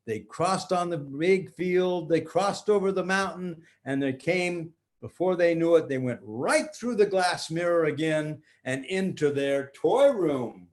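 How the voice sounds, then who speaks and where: An older man, a grandfather, improvising a bedtime story for children in simple, repetitive phrases with drawn-out words. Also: fairly high pitch.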